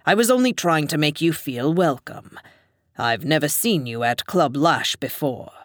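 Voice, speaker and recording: A woman's voice: animated, unhurried, no echo, clean studio sound. A woman voicing a stern, domineering Englishman. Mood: reproachful. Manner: low, scolding, menacing murmur. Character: stern domineering English man, deep and commanding.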